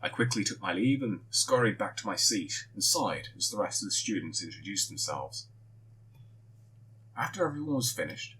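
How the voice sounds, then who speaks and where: A man reading a first-person fan fiction story aloud, with expressive storytelling. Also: on the low side, a little hiss or hum, low-quality recording.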